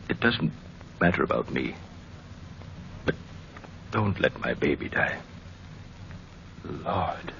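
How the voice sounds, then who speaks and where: An actor playing an older, fatherly, opinionated family doctor in an old time radio drama, oratorical, with dramatic pauses. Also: a bass voice, gravelly, exaggerated voice. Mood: solemn, grieving. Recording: muffled old radio recording, faint noise.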